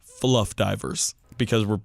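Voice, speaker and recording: A man hosting a casual wargaming chat podcast, speaking informally and with some swearing, his voice hoarse and worn out. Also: lowish voice, steady tone, unhurried, no room sound. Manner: informal, profane. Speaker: podcast host.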